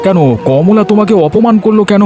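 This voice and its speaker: A man's voice: sits high, fast talker, noisy background, natural voice. A man voicing a kind-hearted village fishmonger in a cartoon, solicitous and eager. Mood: concerned. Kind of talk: Bengali cartoon voice acting. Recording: music behind the voice.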